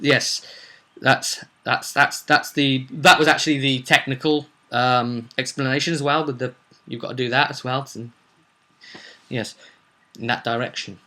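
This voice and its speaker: A man's voice: medium pitch, fairly even intonation, measured pace, bright, forceful tone. A man speaking as a game master, recapping a roleplay session in a casual, rambling, digressive and jokey way. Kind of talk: casual rambling vidcast storytelling.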